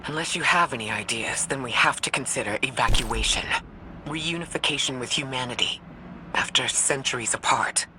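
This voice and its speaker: A performer acting as an earnest sci-fi game advisor, formal and measured. The voice is mid-pitched, with clear ambient noise and rumble around it. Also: close to an everyday voice. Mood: earnest, serious. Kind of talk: video game dialogue acting.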